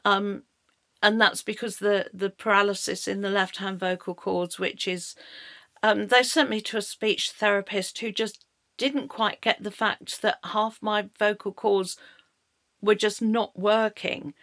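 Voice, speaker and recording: Croaky middle-aged British woman. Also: mid-range voice, steady tone, fairly bright tone, no echo, studio quality. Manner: halting, searching for words. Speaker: narrator of her own life story. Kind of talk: personal storytelling monologue.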